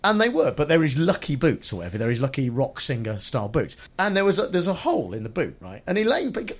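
A man's voice: on the high side, wildly swooping pitch, quick pace, slightly rough. A man hosting a podcast in casual chat, jokey and leading the conversation. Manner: jokey, laughs often, leads the talk. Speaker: podcast host.

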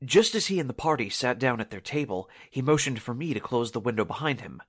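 A man's voice: medium pitch, expressive, fast talker, a bit bright. A man playing a hesitant, deferential restaurant employee in a 1940s radio drama, polite in manner.

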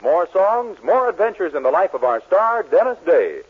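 A man's voice: sits high, sing-song, normal speed, husky, phone-quality sound. An American man reading scripted ad copy and sign-offs as a 1940s radio show announcer, on an old band-limited broadcast.

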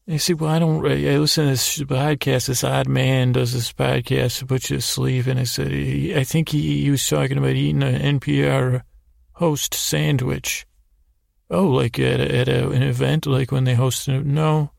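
Very creaky, gravelly rambling man. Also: medium pitch, flat delivery, dry recording, studio quality. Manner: meandering, halting, stammering and repeating words; whimsical, self-deprecating. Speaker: podcast host. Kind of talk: rambling bedtime sleep-story monologue.

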